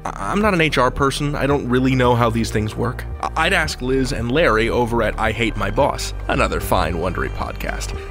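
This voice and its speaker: A man's voice: medium pitch, big pitch swings, a little fast. A man hosting a podcast in a scripted yet conversational style, with a playful, theatrical and wry delivery. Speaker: podcast host. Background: music.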